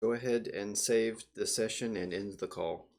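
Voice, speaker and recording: A man speaking casually and a little haltingly as a player giving spoken commands in a game demo. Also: fairly low pitch, fairly even intonation, darkish tone, slight background noise. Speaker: player demonstrating a voice assistant.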